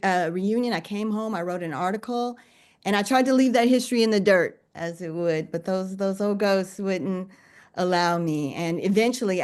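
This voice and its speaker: A woman author telling her own story on a panel in a conversational, deliberate and reflective way. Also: on the low side, darkish tone.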